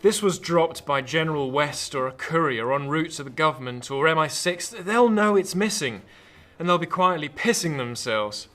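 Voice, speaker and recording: A man's voice: a little high, animated, moderate pace, sharp tone. A man acting in a radio drama as an anxious, protective intelligence officer, urgent and cautioning. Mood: worried, pleading. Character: anxious, earnest male intelligence officer, protective of his lover. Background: music.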